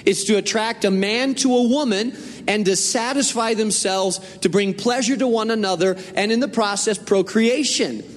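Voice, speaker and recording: A man from northern Spain preaches with a bright, high-pitched voice. He speaks earnestly and conversationally and circles back to drive his points home. His voice carries a long echo through a large church hall. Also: normal speed. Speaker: pastor. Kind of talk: sermon.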